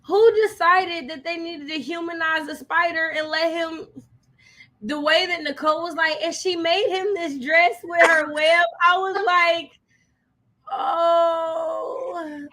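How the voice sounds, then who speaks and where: A woman with an American accent and a high, bright voice chats casually on a book livestream. She tells things dramatically, with slangy, animated phrasing. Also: slow. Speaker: co-host of a book discussion livestream. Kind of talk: casual chat.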